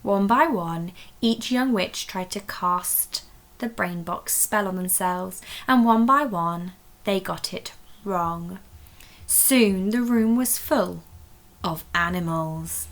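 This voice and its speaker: A woman reading a children's story aloud, expressive and dramatic, speaking directly to young listeners. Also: lowish voice, takes it slowly. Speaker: story reader at a primary school.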